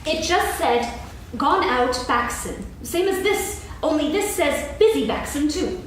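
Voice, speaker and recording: Echoey, high-pitched bossy rabbit, played by a woman. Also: animated. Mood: impatient. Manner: brisk, officious, emphatic. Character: bossy, self-important, bustling rabbit, eager to be in charge. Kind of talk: theatrical staged reading of a children's story. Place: on stage in a hall, recorded from afar. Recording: echoey hall, distant, faint noise.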